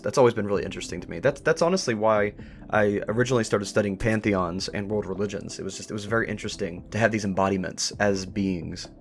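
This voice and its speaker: A man hosting a thoughtful interview conversation, speaking in a reflective, wordy way as he talks ideas through. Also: fairly low pitch. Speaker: podcast host interviewing creators.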